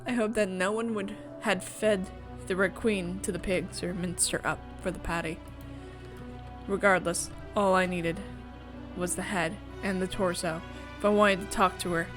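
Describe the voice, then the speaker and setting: A woman's voice: on the low side, steady tone, normal speed, music in the background. Woman reading a visual novel aloud. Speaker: visual novel reader and streamer. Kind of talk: reading story narration aloud, with casual asides.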